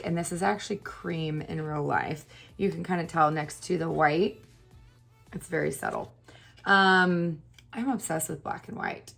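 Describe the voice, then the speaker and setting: A woman with a hoarse, partly lost voice, chatting casually and laughing at herself while doing her makeup on camera. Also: on the low side. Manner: chatty, self-deprecating, laughs often. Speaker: beauty YouTuber. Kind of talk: casual get-ready-with-me chat.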